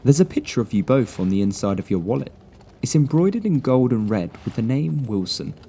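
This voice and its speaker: A man voicing a clever young male detective who lays out deductions coolly and deliberately. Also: medium pitch, sing-song, mellow, breathy edge. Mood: deductive. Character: clever young male sleuth, calm and smug.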